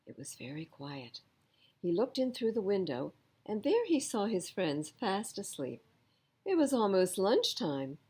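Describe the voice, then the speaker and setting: A woman reading a picture book aloud to children as an expressive storyteller. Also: medium pitch, unhurried, slightly rough.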